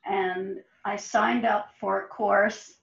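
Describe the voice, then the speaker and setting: A woman's voice: mid-range voice, fairly even intonation, takes it slowly, gravelly. A woman who teaches at a university, recalling an online course in a chatty storytelling way on a video call. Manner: storytelling with light laughs. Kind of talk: casual video call chat. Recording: echoey lo-fi call audio.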